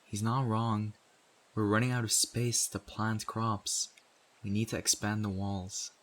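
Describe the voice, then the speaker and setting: Low-voiced, stern zombie apocalypse survivor played by a man. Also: level tone, fairly bright tone. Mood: weary, serious. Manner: earnest, scolding, deliberate with pointed pauses. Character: stern survivor community member in zombie apocalypse, exasperated, principled. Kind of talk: audio roleplay acting addressed to the listener.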